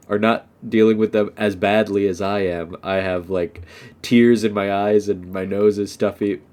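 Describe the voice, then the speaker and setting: A man's voice: fairly low pitch, darkish tone. Stuffy-nosed young American man rambling. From United States. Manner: rambling, many fillers like "um" and "like". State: stuffed up nose from allergies. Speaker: English lesson host. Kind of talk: unscripted casual monologue.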